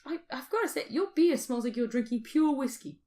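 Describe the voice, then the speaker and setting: A woman co-hosting a podcast, musing out loud in casual, teasing back-and-forth chat. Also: sits high, lively intonation, fairly quick. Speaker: podcast co-host.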